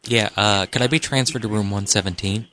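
A man's voice: on the low side, fairly even intonation, a little fast, a bit bright, a little hiss or hum. A man making crude, pushy prank calls to hotel front desks and chatting casually with a friend. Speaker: prank caller. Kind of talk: casual prank call chat.

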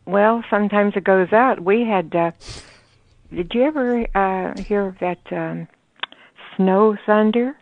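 A woman's voice: mid-range voice, takes it slowly, dry recording. An older woman chatting on a late-night phone call, rambling with many hesitations. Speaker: prank call target. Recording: dull phone-line audio.

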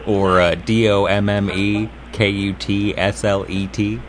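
A low-pitched American man hosts improvised prank calls. He talks casually and off the cuff, and there is clear noise on the recording. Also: level tone, unhurried. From United States. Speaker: prank caller, host. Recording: clearly noisy live call recording.

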